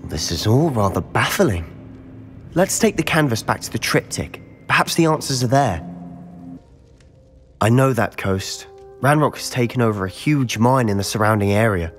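A determined teenage schoolboy wizard acted by a young man in a fantasy game. He speaks intensely and articulately, with a lively, swinging pitch at a medium height. Faint music plays behind him. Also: moderate pace, natural voice. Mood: earnest, brooding. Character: determined, hot-headed teenage schoolboy wizard, loyal brother. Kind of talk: dramatic video game acting.

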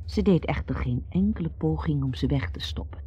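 A man narrating a literary radio drama in a measured storytelling manner. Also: fairly high pitch. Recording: muffled radio sound, faint music.

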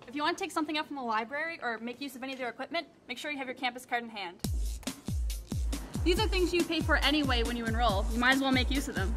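Young female promo narrator, high and fast, music bed. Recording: music under the voice.

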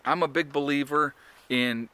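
A man's voice: a little high, fairly even intonation, moderate pace, bright, forceful tone, smooth. A man in his forties talking casually to a handheld camera outdoors, rambling with many fillers and restarts. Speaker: homesteading vlogger. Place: outdoors in woods on a sunny day. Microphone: phone or camera held at arm's length.

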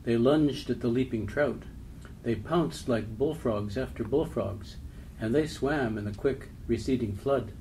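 A man recites a written poem at an average male pitch. A faint noise sits behind the voice. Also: unhurried, warm tone, low-quality recording. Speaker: poetry reader. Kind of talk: literary poem reading.

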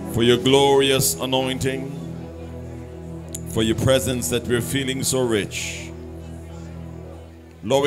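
A man leading a church service, singing hymn lines and praying fervently with the congregation. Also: medium pitch. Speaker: church leader leading worship. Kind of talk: sung worship and spoken prayer. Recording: live service with loud musical accompaniment. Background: instrumental music.

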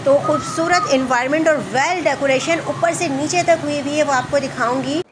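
A woman's voice: sits high, moderate pace, background noise. A woman hosting a chatty walking vlog through a large indoor mall, mixing English phrases into her talk. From Pakistan. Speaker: vlogger host.

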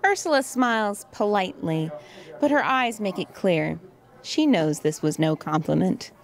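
A woman's voice: medium pitch, expressive, normal speed. A woman reading scripted narration and stage directions for an audio drama.

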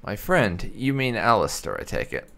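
A man's voice: fairly low pitch, very animated, normal speed, gravelly, sharp tone. A man giving casual gameplay commentary and reading dialogue choices aloud, in a musing, understated, polite manner. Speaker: game streamer doing roleplay playthrough.

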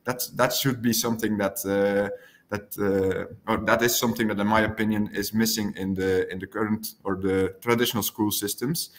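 A man's voice: fairly low pitch, fairly even intonation, moderate pace. Man speaking earnestly. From Belgium. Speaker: guest panelist. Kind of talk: earnest unscripted panel talk. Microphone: video call.

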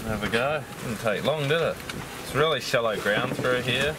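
A man's voice: medium pitch, moderate pace. A man, chatting offhandedly on a sailing boat at sea. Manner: laid-back, offhand remarks. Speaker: skipper and fisherman. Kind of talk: casual chat. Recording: windy outdoor camera audio.

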